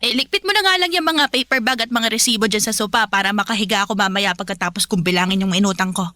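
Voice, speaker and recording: Woman acting sharp, pushy Filipina mother, dry sound. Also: mid-range voice, quick pace, no room sound, natural voice. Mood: brusque, defensive. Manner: scolding, wheedling, dramatic. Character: pushy, nagging, shameless Filipina mother, middle-aged. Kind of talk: radio drama acting in a love story podcast.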